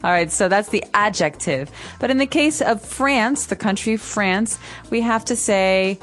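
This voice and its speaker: An American woman co-hosting an English lesson podcast, explaining words in a clear, careful way. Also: mid-range voice, expressive, measured pace. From United States. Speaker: podcast co-host, English teacher. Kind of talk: scripted teaching dialogue.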